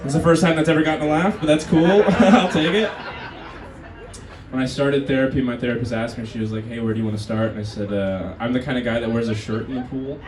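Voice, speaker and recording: A man's voice: mid-range voice, steady tone, fast, recorded from a distance. A Brazilian-born man in his thirties doing a stand-up set, telling wry, profane stories and talking back to the crowd. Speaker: stand-up comedian. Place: comedy club stage before a live crowd. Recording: roomy club audio with crowd laughter.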